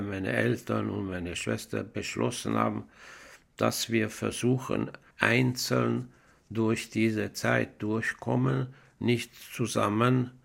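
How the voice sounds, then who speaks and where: An older man from Hungary with a Hungarian accent, speaking in a halting, careful way. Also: lowish voice, creaky. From Budapest, Hungary.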